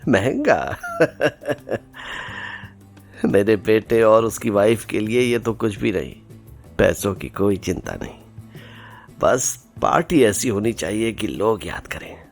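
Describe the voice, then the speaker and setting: A man's voice: on the low side, expressive, takes it slowly, creaky, long pauses, soft background music, full character voice. A man with an Indian accent playing a genial, generous older father in an audio drama. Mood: generous, festive. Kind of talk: Hindi audio drama acting.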